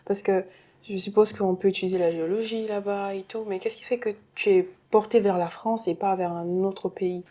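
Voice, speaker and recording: Woman interviewer on a studio set, mellow voice, middle pitch, chatty and playful with her guest. She keeps the talk light and friendly. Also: steady tone, moderate pace. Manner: playful, chatty, teasing intro. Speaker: interview host.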